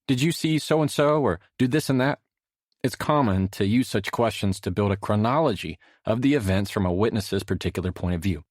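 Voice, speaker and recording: A middle-aged man reading his own nonfiction audiobook as a measured, expressive storyteller. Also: lowish voice, no echo, studio quality. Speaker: author narrating own audiobook. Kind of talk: scripted audiobook narration, storytelling.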